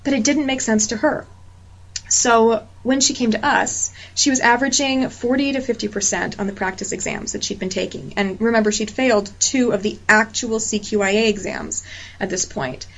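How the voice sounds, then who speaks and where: Earnest woman, higher voice, muffled audio. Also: normal speed. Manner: earnest, emphatic, builds points with repeated examples. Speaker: quality certification exam tutor. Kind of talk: explanatory monologue. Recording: slightly muffled lo-fi audio with faint hiss.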